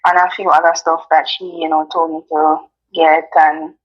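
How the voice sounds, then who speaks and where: A woman's voice: deep voice, level tone, moderate pace, highs cut off. A woman from Jamaica with a Jamaican accent, a guest chatting casually in an interview, talking easily with many ums and you-knows.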